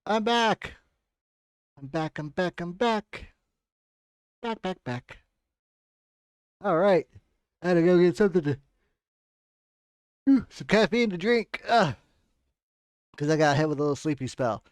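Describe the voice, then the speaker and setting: Male game streamer, slightly high voice, loose self-correcting chat, very dry close sound. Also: lively intonation, a little slow, long pauses, clean tone, no room sound. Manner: informal, rambling, self-correcting. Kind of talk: casual watchalong stream chat.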